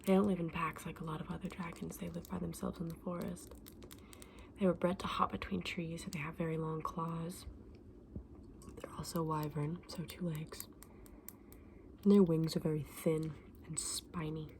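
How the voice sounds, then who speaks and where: Soft, whispery saleswoman of fantasy creatures, played by a woman. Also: sits low, little pitch movement, a little hiss or hum. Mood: informative, fond. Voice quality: soft, breathy, often slipping into whisper. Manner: gentle, unhurried, explanatory, addressing a customer. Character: friendly, knowledgeable female dragon egg saleswoman. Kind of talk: ASMR roleplay, soft-spoken and whispered.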